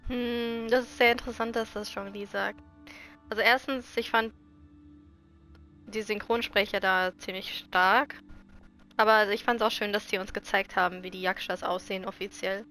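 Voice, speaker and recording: A German woman comments casually as a game video creator. Her voice is bright and quite level in pitch. Faint music plays behind her. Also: steady tone, normal speed.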